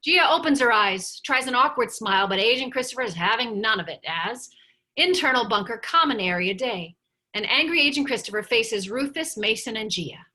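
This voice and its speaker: A woman narrates stage directions from a drama script. Her voice is bright and forward, sitting a little high in pitch. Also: animated, normal speed. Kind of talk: scripted narration at a table read.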